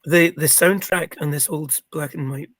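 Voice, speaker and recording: A middle-aged man with a croaky, gravelly voice, chatting as an interview guest and rambling with many ums and restarts. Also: a little high, steady tone, moderate pace, fairly bright tone, no echo, clean studio sound. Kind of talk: casual interview chat.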